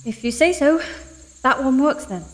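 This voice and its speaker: An actress playing an earnest, loyal, plain-spoken fleet recruit in a science fiction audio drama. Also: female voice, high voice, lively intonation, normal speed. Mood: wary, resolute. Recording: long hall reverb, music behind.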